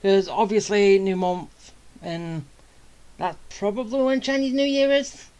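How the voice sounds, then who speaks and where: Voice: mid-range voice, unhurried, darkish tone. A video game streamer giving casual commentary, muttering and thinking aloud in a rambling way. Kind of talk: casual gameplay commentary, talking to self.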